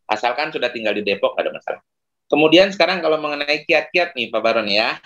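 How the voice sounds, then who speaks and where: A man in his forties, an Indonesian facilitator, explaining digital marketing on a video call, with many pauses and fillers. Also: on the high side, animated, bright, forceful tone.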